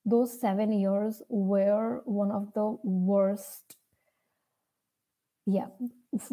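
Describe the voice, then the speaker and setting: A young woman with an Indian accent shares a personal story in a very soft-edged, dark and mellow voice. Her pitch sits in a medium range. She speaks earnestly and unscripted, pausing as she searches for words. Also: poor audio.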